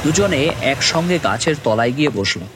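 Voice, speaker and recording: A man's voice: mid-range voice, expressive, normal speed, natural voice. A man voicing an earnest, hesitant young man who narrates his own cartoon tale. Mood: reflective. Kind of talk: first-person story narration with acted dialogue. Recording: music bed behind voice.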